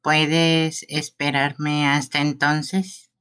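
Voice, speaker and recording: A performer voicing a creepy young male gravedigger in a live fan dub of a game, whiny and pleading, speaking slowly. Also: medium pitch, flat delivery, bright, forceful tone, smooth. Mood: sulky.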